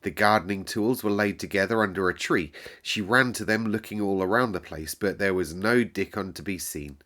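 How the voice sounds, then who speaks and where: A man reading a classic children's novel aloud in a calm, measured storyteller's way. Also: deep voice.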